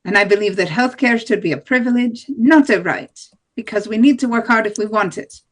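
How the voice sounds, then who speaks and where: A woman's voice: on the high side, expressive, brisk. Blunt hardline woman candidate read by a woman. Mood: firm. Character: blunt hardline far-right woman presidential candidate in a debate. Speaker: presidential candidate in a play table read. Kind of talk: amateur table read of a stage play.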